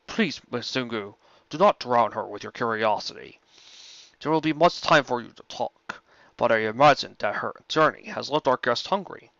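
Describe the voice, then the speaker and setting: A man voicing a huge ancient male dragon, wise and courteous, speaking in formal, deliberate, unhurried phrases. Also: medium pitch, vocal fry, fairly bright tone, no echo, lo-fi sound. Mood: calm, hospitable.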